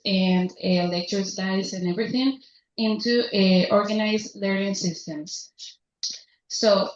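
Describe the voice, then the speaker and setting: A young woman from Spain, a student presenting at a conference who pauses and corrects herself. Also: medium pitch, slight background noise. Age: young adult. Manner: halting, stops to correct herself. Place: conference hall. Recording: echoey hall, distant muffled mic.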